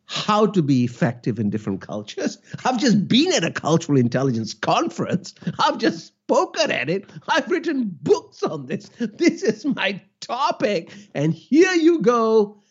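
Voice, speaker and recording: Animated Malaysian-born man, lo-fi podcast. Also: high-pitched, unhurried, hardly any pauses, breathy edge. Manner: animated, teaching tone with rhetorical questions. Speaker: cultural intelligence educator, podcast host. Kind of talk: reflective solo podcast monologue.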